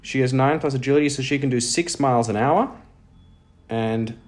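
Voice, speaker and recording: A man's voice: medium pitch, normal speed. A man hosting a solo role-playing audio show, moving between storytelling and casual thinking aloud about the game. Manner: thinks aloud while playing, self-deprecating asides. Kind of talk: narrated story mixed with casual game commentary.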